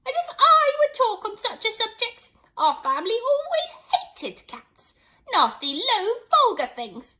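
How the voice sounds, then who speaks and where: A tiny male storybook mouse, acted out by a woman, very high and shrill. He is passionate and quick to take offence, and his indignant, emphatic speech leaps up and down. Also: huge pitch swings, bright, forceful tone, heavily acted. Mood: indignant disgust.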